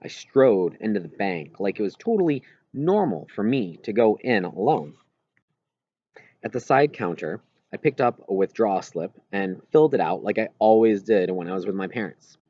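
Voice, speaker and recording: A man's voice: on the low side, darkish tone, dry recording, dull audio. A man reading a children's novel aloud in first-person narration, as an audiobook reader.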